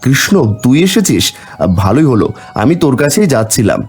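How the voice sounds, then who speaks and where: Humble, good-hearted elder brother for a cartoon, male narrator acting, animated mid-range voice. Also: mid-range voice, normal speed, soft background music. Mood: puzzled, sincere. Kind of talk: cartoon fairy-tale voice acting.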